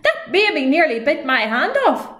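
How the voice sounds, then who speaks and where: A woman voicing a huge, nasty male Scottish giant in a children's storybook, slow, loud and blustering. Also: sits high, sing-song, pitched above the performer's own voice. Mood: pained yelling. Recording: roomy echo.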